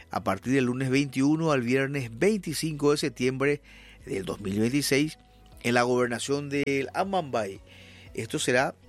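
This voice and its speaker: A man with a Paraguayan accent hosting a talk radio show, chatting with guests and reading news items with frequent fillers. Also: medium pitch. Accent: Paraguayan Spanish. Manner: hesitant with many fillers and restarts, then reading news steadily. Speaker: radio host.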